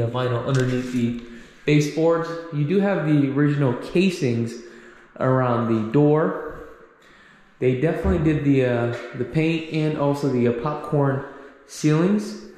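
A man's voice: fairly high pitch, a little mellow. A man giving a casual walkthrough commentary as a real estate agent, recorded on a handheld camera in empty rooms. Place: empty condo rooms and outdoor walkway. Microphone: handheld action camera. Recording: echoey empty rooms, some background noise.

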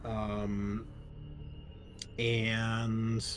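A man narrating a tabletop roleplaying game as game master, improvising and explaining things in a halting way. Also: fairly low pitch, monotone, music in the background.

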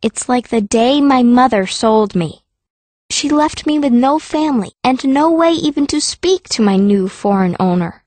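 A woman's voice: fairly high pitch, expressive, a little slow, darkish tone, dry recording. A woman reading scripted first-person narration as a fierce young girl heroine of a fantasy audiobook. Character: fierce, stubborn girl stone mage telling her story.

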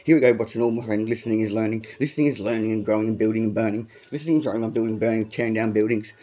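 A man's voice: on the low side, steady tone, rapid-fire, warm tone. A man freestyling profane, aggressive rap bars, repeating lines as he goes. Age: adult, has a son. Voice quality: says he has a big voice. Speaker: amateur freestyle rapper.

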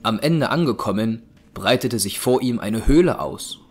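Low-voiced male audio drama narrator. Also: lively intonation, breathy edge. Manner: measured storytelling. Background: music.

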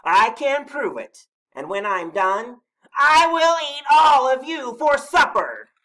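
A man's voice: very high-pitched, big pitch swings, slow pace, pushed and bright, pitched above the performer's own voice, heavily acted, poor audio. A man voicing a boastful, growling storybook monster who blusters fiercely but is secretly timid. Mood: indignant, bellowing.

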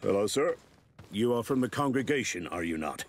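Blunt, harried port commander. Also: male voice, lowish voice, expressive, moderate pace. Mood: brisk. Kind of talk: video game dialogue acting.

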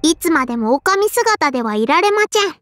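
A woman's voice: high voice, very animated, normal speed, fairly bright tone, a little hiss or hum. A woman voicing a small, strict but kindly and dutiful sparrow girl for an anime game, in a childlike lisping voice with formal, cutesy phrasing. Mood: composed. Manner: formal yet cutesy, chirping verbal tics. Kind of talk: Japanese anime game character lines.

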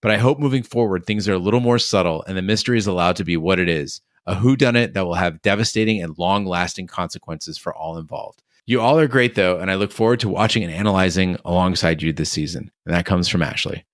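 A man hosting a podcast, talking through a review in a casual, measured, explanatory way. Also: low-pitched, dry recording, studio quality. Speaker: podcast host.

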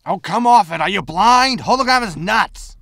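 A man's voice: high voice, lively intonation, moderate pace, sharp tone, dry recording, heavily acted. A man playing a harried, wry spaceship captain with a rambling manner in a science fiction comedy audio drama. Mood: panicked.

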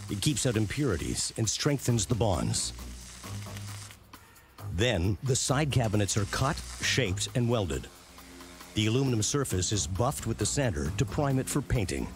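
A man reading scripted narration for a documentary series in a measured, punchy way. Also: on the low side, big pitch swings. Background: prominent background music.

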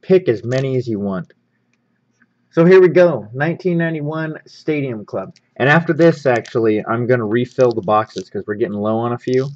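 A man with a medium-pitched voice hosts a casual unboxing. He is upbeat and easygoing as he comments on what is in his hands. The sound is a little dull and lo-fi, as from a basic microphone at a tabletop. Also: normal speed, darkish tone. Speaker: host of a sports card pack-opening show.

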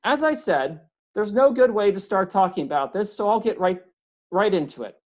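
A woman's voice: medium pitch, animated, normal speed, a little mellow, lo-fi sound, lightly acted. A woman reading a storybook voicing a big, polite, anxious male professor who keeps apologizing and pleading.

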